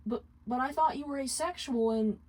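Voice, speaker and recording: A woman's voice: high-pitched, moderate pace, a little mellow, pitched above the performer's own voice. A woman voicing a despairing male imp in a fanfiction reading, stammering, tearful and pleading. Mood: shy, stammering.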